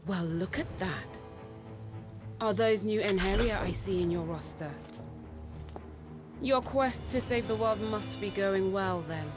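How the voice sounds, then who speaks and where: A woman's voice: mid-range voice, a little slow, pauses often, music in the background, lightly acted. An actress playing a sardonic, self-assured henchwoman in a dramatic game cutscene. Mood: mocking. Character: taunting, sardonic henchwoman, loyal to her mistress.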